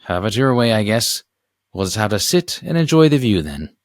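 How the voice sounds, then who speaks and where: A man voicing a smug, oily male villain agent in a storybook, taunting and unhurried. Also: on the low side, very animated, no room sound, clean studio sound. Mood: smug, threatening.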